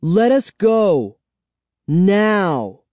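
A male reader acts out a scared, urgent child in a spooky storybook. The voice jumps up high and stays soft-edged, anxious in its delivery. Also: sing-song, very slow, no room sound, studio quality, full character voice. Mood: defiant. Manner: urgent, tense exclamations. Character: anxious, frightened child narrator in a vampire tale.

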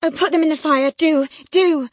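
A woman reading aloud, voicing a spoiled young girl who pleads and begs in a high, breathless voice. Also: steady tone, moderate pace, a little mellow, no echo, clean studio sound, natural voice. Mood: sobbing.

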